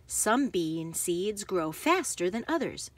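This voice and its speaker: Slow, lilting woman reading to children. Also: lowish voice, no room sound. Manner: careful, expressive phrasing for young listeners. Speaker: children's book reader. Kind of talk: read-aloud narration for young children.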